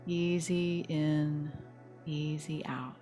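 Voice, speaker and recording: A woman teaching yoga nidra, leading a guided relaxation meditation in a soothing, gentle, unhurried manner. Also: low-pitched, fairly even intonation, faint music behind.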